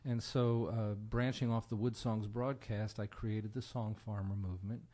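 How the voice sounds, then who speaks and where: Earnest American man, halting podcast guest. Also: lowish voice, fairly even intonation, warm tone. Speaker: guest musician and broadcaster. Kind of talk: conversational interview answer.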